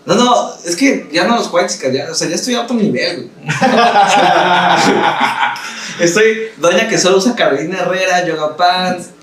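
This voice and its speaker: A man's voice: sits high, unhurried, a bit bright. A young man from Mexico City with a chilango accent, chatting as a podcast guest and telling jokes in a rambling way that trips over words. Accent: Mexico City Spanish. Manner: jokey, laughing, stumbles over words. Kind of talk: casual chat. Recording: echoey room, distant from mic, faint hiss.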